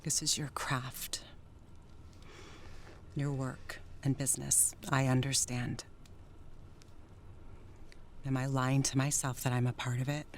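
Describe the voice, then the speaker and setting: An actress playing Jerry, a troubled, wistful, newly sober wife in a 1930s radio drama. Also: female voice, rumbling low, little pitch movement, takes it slowly, long pauses, a little hiss or hum, full character voice. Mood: wistful, pleading.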